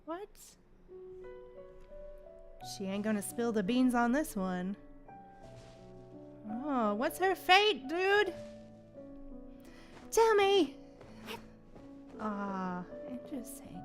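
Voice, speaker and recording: A woman's voice: on the high side, big pitch swings, extremely slow, long pauses, faint music behind. A woman livestreaming a game with casual, playful commentary, chatting to viewers and reacting aloud to what happens.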